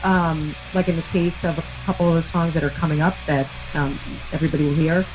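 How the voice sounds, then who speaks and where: A woman's voice: low voice, fairly even intonation, fast, rough recording. Chatty upbeat woman, faint music behind. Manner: upbeat, chatty, many fillers. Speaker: band's lead singer, guest. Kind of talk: casual radio interview.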